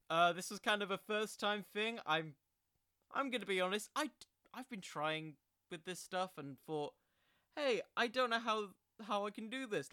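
A man's voice: high voice, pushed and bright, clean tone, no room sound, clean studio sound. A man hosting a podcast, speaking in a theatrical, hammy storytelling manner. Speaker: podcast host. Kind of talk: theatrical fantasy storytelling about pro wrestling, then casual rambling.

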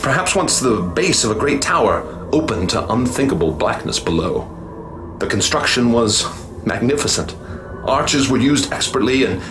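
A man's voice: mid-range voice, background noise, natural voice. An actor playing a male professor and explorer who narrates his discovery in earnest, dramatic tones, in a radio drama. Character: middle-aged male professor, awed, driven explorer. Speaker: narrator and lead character. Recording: music bed behind the voice.

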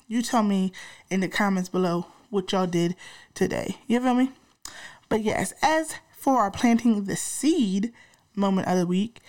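A woman's voice: mid-range voice, darkish tone. A young woman hosting a podcast, congested and a little nasal from a cold, chatting casually in slang straight to her listeners. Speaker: podcast host.

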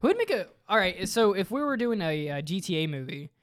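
High voiced guy on a podcast, casual banter, excitable, keeps jumping in. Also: fairly quick. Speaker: podcast co-host. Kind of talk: casual pop culture banter.

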